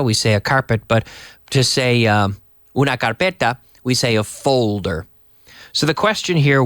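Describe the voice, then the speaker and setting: A man with a cold and a hoarse voice, teaching English live to viewers in a chatty, slow, explaining way. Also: mid-range voice, fairly bright tone, dry recording, studio quality. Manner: chatty, reads viewer messages aloud and explains slowly. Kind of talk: live online English lesson.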